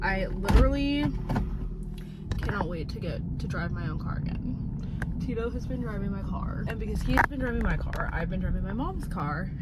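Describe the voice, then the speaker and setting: A woman's voice: mid-range voice, animated, fairly quick. A young woman vlogging on her way to work, chatty and full of "like" fillers, congested and coughing. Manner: chatty, fillers of "like", swears casually. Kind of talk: casual vlog chat. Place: in a car heading to work. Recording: dull lo-fi audio with loud car noise.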